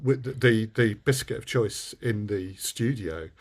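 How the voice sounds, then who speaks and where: A man with a fairly low voice, chatting informally as a producer and interviewer. His delivery is halting, circling back over words before finishing a thought. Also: slightly rough. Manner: halting, repeats words as he goes. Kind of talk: casual chat.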